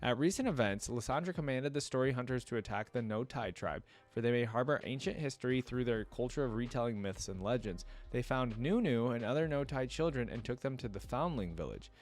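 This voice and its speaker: A man's voice: medium pitch, animated, a little fast, hardly any pauses. A man hosting a reaction video, reading text aloud and commenting on it in an informal, thinking-aloud way. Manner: informal, thinking aloud between read passages.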